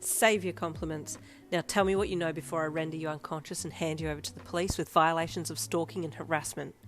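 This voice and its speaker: A woman's voice: fairly low pitch, a little fast, soft background music, close to an everyday voice. A woman voicing a suspicious winged female hero with a firm, demanding manner in an audio story. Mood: fierce, demanding. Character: fierce, suspicious winged female hero, commanding and sharp-witted.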